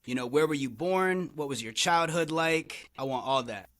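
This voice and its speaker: A man's voice: a little high, lively intonation, moderate pace, a bit bright. Give me an upbeat man hosting a casual podcast interview who keeps saying "for sure, man.". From Tampa area, Florida. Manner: upbeat and affirming, says "for sure, man" often. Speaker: podcast host.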